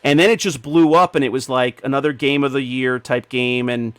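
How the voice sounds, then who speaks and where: A man's voice: medium pitch, fairly even intonation, a little fast, clean tone, no echo, studio quality. A man hosting a podcast, chatting casually with a group. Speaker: podcast host.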